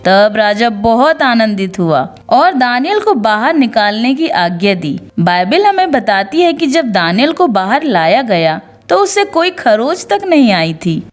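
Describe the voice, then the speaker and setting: A woman's voice: fairly high pitch, very animated, unhurried, hardly any pauses. A woman narrating scripted Bible stories in an earnest devotional storytelling style. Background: background music.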